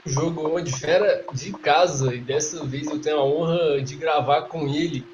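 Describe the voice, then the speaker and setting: A man's voice: on the high side, fairly even intonation, moderate pace, dull audio, crowd noise. A Brazilian man hosting a casual, slangy interview. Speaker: host.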